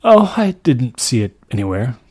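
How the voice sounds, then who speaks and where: A man's voice: mid-range voice, sing-song, moderate pace, darkish tone, husky, dry recording, studio quality. A man voicing an earnest, plain-spoken village stonemason from a horror story, with a folksy, emphatic and serious manner. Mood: puzzled.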